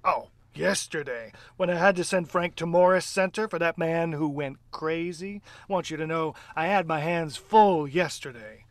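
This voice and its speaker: An actor as a higher-voiced, defensive sheriff. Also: male voice, normal speed, few pauses, no echo, natural voice. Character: busy, defensive small-town sheriff. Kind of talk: live audio stage drama.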